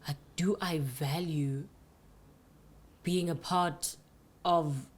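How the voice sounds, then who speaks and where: A young woman with a deep voice, co-hosting a podcast and talking candidly about her worries. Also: fairly even intonation, drawn-out words. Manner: candid, reflective, trails into restarts. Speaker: podcast co-host. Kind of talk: casual chat.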